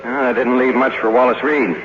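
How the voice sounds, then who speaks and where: An actor playing a cynical screenwriter in a 1950s radio drama, with a wry, hard-boiled delivery. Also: male voice, mid-range voice, steady tone, brisk, crowd noise, close to an everyday voice. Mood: dry, casual. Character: cynical screenwriter man narrating his own downfall. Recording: muffled, noisy 1950s radio broadcast.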